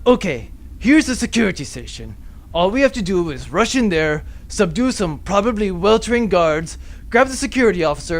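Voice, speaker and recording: A man voicing a bumbling, nervous pirate leader in a comedic audio drama, hesitant and rambling. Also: sits high.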